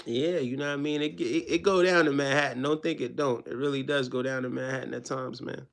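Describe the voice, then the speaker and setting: A man's voice: medium pitch, steady tone, fairly quick, creaky. A man touched by talk, chatting casually on a live stream in a slangy way, often checking that listeners follow. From Jamaica. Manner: slangy, repeats check-in tag phrases.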